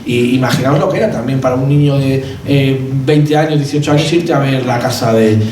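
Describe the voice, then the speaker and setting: The Castilian man you would hear from the back row of a book presentation, a mellow-voiced author wandering haltingly through anecdotes, a little far from the microphone. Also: mid-range voice. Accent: Castilian Spanish. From Madrid, Spain. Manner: halting, rambling, hesitant with repeated words. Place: event hall at a cultural centre. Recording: roomy echo, distant mic, background noise.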